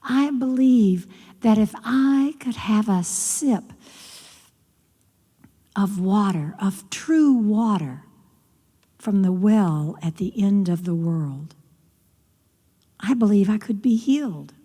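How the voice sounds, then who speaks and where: A woman's voice: medium pitch, animated, a little slow, dark tone, in a large hall, close to an everyday voice. Woman whispering as a frail dying queen. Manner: whispering weakly. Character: sick old queen, frail and gentle.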